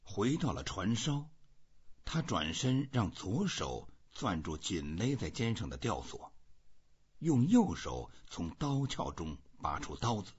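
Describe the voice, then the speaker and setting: A man narrating a literary audiobook in an expressive, dramatic reading style. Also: deep voice, husky, highs cut off.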